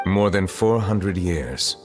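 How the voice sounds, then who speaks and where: Very deep-voiced, solemn chief justice. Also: male voice, lively intonation. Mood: grave, reflective. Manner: formal, measured, courteous. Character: solemn, reserved, centuries-old male chief justice. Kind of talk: English video game voice acting. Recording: long reverb, music behind.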